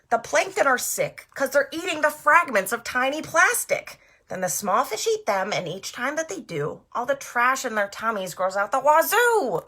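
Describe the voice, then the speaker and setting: A woman's voice: on the high side, sharp tone, voice pushed up, full character voice. A woman voicing a small, fed-up, talkative crab from a children's picture book, complaining emphatically.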